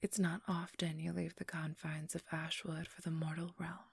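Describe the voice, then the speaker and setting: A woman's voice: low-pitched, flat delivery, vocal fry, husky, exaggerated voice. A woman playing a haughty, teasing, ageless vampire thief in an ASMR role-play, whispering breathily and condescendingly close to the microphone. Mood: sly, boastful. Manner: condescending, teasing, drawn-out delivery. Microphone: close, dry microphone.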